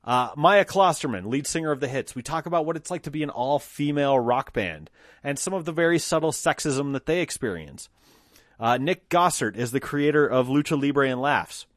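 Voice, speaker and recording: A man's voice: mid-range voice, moderate pace, no echo, clean studio sound. A man from Colorado hosting his podcast alone, talking unscripted, swearing freely and acting out mock quotes. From Colorado, United States. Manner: profane, animated, acts out mock quotes. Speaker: podcast host. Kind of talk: unscripted solo monologue.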